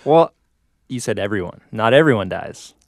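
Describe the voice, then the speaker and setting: A man's voice: lowish voice, animated, normal speed, clean studio sound. A man co-hosting a podcast with casual banter, often chiming in and echoing his partner. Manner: interjects and echoes his co-host. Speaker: podcast co-host.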